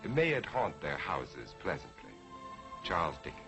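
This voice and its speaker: A man narrating as a storyteller in a theatrical scripted style, deliberate and emphatic, on a vintage 1940s television soundtrack. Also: a bass voice, huge pitch swings, gravelly, over music. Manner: deliberate, emphatic, dramatic phrasing.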